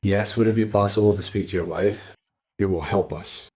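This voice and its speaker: An actor playing a dry, probing detective inspector in a crime radio play, speaking in a measured, questioning way. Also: male voice, on the low side, fairly even intonation, darkish tone, slightly rough. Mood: polite, sober.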